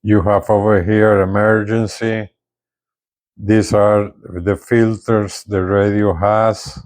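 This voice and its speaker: A man presents a casual hands-on review in a fairly low voice. He takes his time, speaking very slowly and haltingly, and often stops to check that viewers follow. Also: little pitch movement, darkish tone. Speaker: gadget reviewer presenting a CB radio. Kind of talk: casual hands-on product demonstration.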